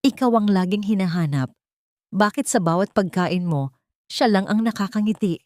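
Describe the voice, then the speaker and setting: A weary, wealthy father full of confusion read by a woman narrator in a story drama. His questions are tired and troubled, with wide pitch movement, recorded dry. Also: lively intonation, normal speed, darkish tone, no echo, lightly acted. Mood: weary, pleading.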